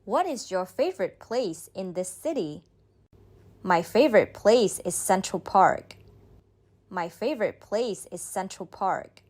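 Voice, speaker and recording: A woman reading a beginner's speaking drill, saying each line slowly and clearly, then repeating it. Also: mid-range voice, lively intonation, darkish tone. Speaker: model speaker in a language drill. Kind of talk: slow scripted drill reading.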